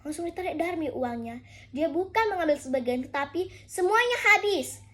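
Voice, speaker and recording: Young female contest storyteller, very high voice, deliberate dramatic folktale narration. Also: animated, fairly bright tone.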